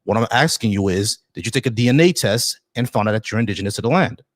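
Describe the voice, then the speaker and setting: A man's voice: lowish voice, expressive, fast talker, dry recording, studio quality. A man hosting a call-in debate show, pressing his callers and often cutting in with "hold on, bro.". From Haitian family background. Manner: interrupting, repeats words, says "hold on, bro".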